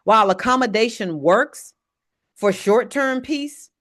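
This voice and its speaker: A woman, an evangelist teaching a church leadership lesson in a loud voice. She speaks to the audience directly and checks in with them often. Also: on the high side, animated, measured pace. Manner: says she has a very loud voice; talks to the audience directly, often asking "right?".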